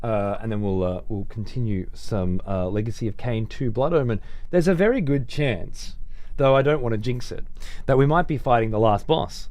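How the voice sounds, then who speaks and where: A hoarse man casually commenting on a game he is streaming, rambling and swearing freely. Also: medium pitch, normal speed, a little mellow, no echo.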